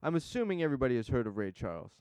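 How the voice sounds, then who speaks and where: Smooth-voiced laid-back male host. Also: mid-range voice, sing-song, rapid-fire, a little mellow, dry recording. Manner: laid-back, rambling and enthusiastic. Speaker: podcast host. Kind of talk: casual interview chat.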